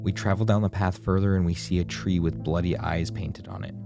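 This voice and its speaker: A man's voice: sits low, fairly even intonation, fairly quick, gravelly. A man reading scripted narration of a horror game in a dramatic, ominous storyteller manner. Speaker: video essay narrator. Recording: music behind the voice.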